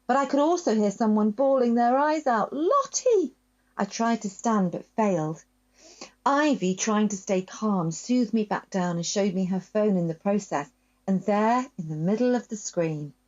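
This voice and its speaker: A woman author reading a children's story aloud with expressive, varied delivery. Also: medium pitch. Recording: dull lo-fi sound.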